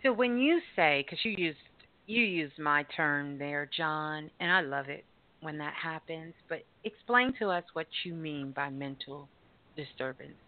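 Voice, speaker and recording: A woman's voice: low-pitched, measured pace. A woman hosting a call-in radio show, teasing and playful, stretching her words for emphasis. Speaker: radio host. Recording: tinny phone-like sound.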